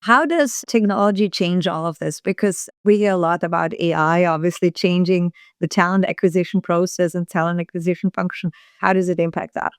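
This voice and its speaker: A woman's voice: fairly low pitch, steady tone, fairly quick, hardly any pauses, smooth, no room sound, studio quality. A woman hosting a podcast, asking direct, friendly interview questions. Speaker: podcast host.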